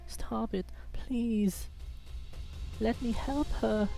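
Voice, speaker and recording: A man voicing an injured, furred, antlered male creature who pleads desperately in a strained, halting way for a story. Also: extremely high pitch, steady tone, vocal fry, mellow, voice pushed up, heavily acted. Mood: desperate, anguished. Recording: background music under the voice.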